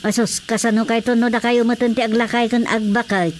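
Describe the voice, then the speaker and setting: A woman's voice: a little high, flat delivery, brisk, a little hiss or hum, natural voice. A woman playing a frank older village woman in an Ilocano radio drama comedy, with an Ilocano accent. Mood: frank, chiding.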